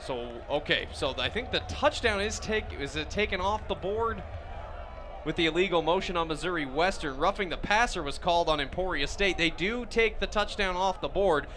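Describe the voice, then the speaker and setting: High-pitched American man calling football on radio. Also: lively intonation, normal speed. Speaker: radio play-by-play announcer. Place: outdoor football game, live radio broadcast. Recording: loud outdoor stadium noise behind voice.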